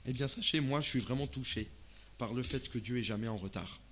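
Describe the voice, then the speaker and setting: A man from Alsace preaching a sermon in casual, expressive, conversational language, often asking rhetorical questions. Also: medium pitch, fairly quick, slight background noise. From Alsace, France. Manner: expressive, uses rhetorical questions and acted-out asides.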